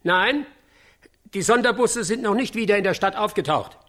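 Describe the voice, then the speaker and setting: An actor playing a polite, halting field reporter in a radio drama, with a husky voice. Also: male voice, high-pitched, expressive, pushed and bright. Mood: hesitant. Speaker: on-site reporter.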